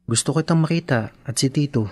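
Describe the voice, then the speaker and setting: A man's voice: mid-range voice, brisk, a little mellow, breathy edge, dry recording, lightly acted. A man acting a devoted, pleading boyfriend in a Filipino radio drama, earnest and emphatic. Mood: gentle, concerned. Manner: earnest, emphatic, mixes in English.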